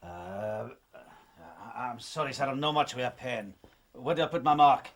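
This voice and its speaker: An actor playing a huge, fierce harpooner, blunt and gruff. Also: male voice, mid-range voice, unhurried, slight background noise, natural voice.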